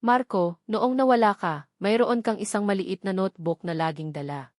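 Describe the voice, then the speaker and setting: A woman's voice: mid-range voice, normal speed, no room sound, studio quality, close to an everyday voice. A Filipino woman voicing a worried, devoted mother in a story, speaking earnestly and with concern.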